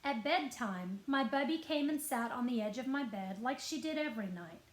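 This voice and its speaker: High-voiced woman reading a storybook, echoey room. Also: expressive, normal speed. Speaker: librarian reading a children's book aloud. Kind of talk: expressive scripted story narration. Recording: roomy, echoing indoor sound.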